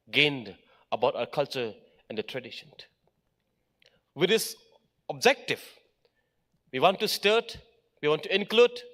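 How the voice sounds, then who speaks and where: Animated man giving a speech. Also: mid-range voice. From Meghalaya, India. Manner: emphatic, lists points in short phrases. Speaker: official speaker at an education event. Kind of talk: formal speech to an audience.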